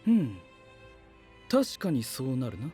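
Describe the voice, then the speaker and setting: A man's voice: medium pitch, huge pitch swings, slow, dark tone, soft background music. An actor playing a composed, pedantic young male scientist knight with formal, logical phrasing in an anime-style voice drama. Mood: cool, analytical.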